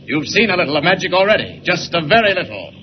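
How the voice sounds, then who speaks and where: An actor playing a confident, commanding superhero in a brisk, tough style, in an old radio serial with dull, noisy sound. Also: male voice, high-pitched, sing-song. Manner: brisk, tough, self-assured. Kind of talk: 1940s radio acting.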